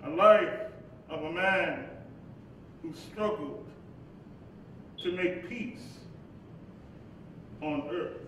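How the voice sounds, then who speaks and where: Man acting as earnest preacher, high-pitched male voice, deliberate stage oratory, distant in echoey hall. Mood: earnest, resolute. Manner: slow, deliberate, drawn-out oratory. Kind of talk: staged play reading, solemn oration. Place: indoor hall at a podium. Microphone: boom-stand microphone at podium. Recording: echoey hall, distant, faint rumbling noise.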